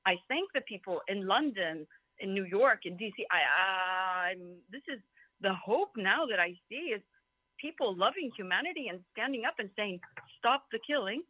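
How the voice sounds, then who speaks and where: A woman's voice: mid-range voice, expressive, normal speed, dry recording. Woman from Greece, talkative and emphatic event speaker, digressive personal testimony, narrow telephone-like audio. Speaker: symposium speaker. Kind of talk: informal personal testimony at a symposium. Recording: thin phone-like call audio.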